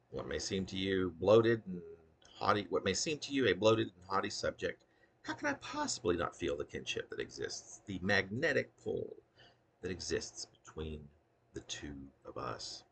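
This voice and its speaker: Male poet, deep and creaky, earnest deliberate delivery of his own verse with offhand asides. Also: huge pitch swings, a bit bright. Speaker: poet reading own work. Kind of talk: spoken poetry reading with casual asides.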